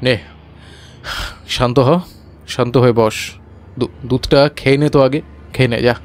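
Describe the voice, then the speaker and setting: An actor playing a concerned friend, urgent and coaxing, in a dramatised audio story. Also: male voice, medium pitch, fairly even intonation, unhurried, soft background music, natural voice. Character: concerned male friend calming a terrified man.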